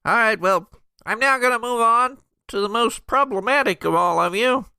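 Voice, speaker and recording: A man playing an exasperated, disbelieving small-town sheriff's deputy in an improvised tabletop game, questioning in a halting, folksy way. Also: extremely high pitch, creaky, sharp tone, smooth, dry recording, studio quality, pitched above the performer's own voice, full character voice.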